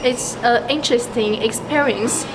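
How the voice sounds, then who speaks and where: A woman whose first language is Mandarin, answering street questions thoughtfully and pausing to find her words. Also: on the high side, loud music behind the voice. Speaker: interviewee. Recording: noisy outdoor street audio.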